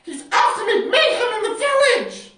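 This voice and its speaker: Squeaky, shrieking little storybook monsters, grumpy and bossy, their voices jumping way up high and loud. The sound is echoey, caught from a mic some way off. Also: male voice, expressive, normal speed, exaggerated voice. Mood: gleeful. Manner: high-pitched shrieking and chanting. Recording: echoey room, mic far off.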